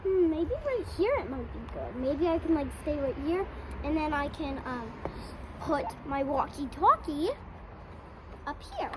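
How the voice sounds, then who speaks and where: A child improvising narration for doll play, thinking out loud while exploring. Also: high voice, expressive, a little slow. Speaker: doll-play video performer. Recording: very noisy handheld outdoor recording.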